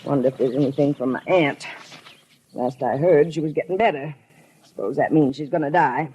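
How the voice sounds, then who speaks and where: An actress playing a crabby, prim, nosy spinster who is snippy and bossy, in 1950s radio drama style. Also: female voice, low voice, normal speed, warm tone, husky, highs cut off. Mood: impatient, crabby. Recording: noisy 1950s radio broadcast.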